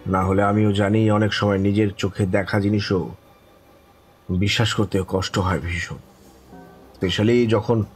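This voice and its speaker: A man plays a grave, weary elder-brother storyteller in an audio horror drama. His fairly low voice is measured and earnest, with a philosophical bent. Faint music runs underneath. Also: close to an everyday voice.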